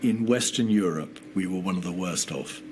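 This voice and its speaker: A British man speaking as a barrister in formal, measured, assertive legal questioning, on a noisy hearing-room recording. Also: sits low. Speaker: inquiry barrister.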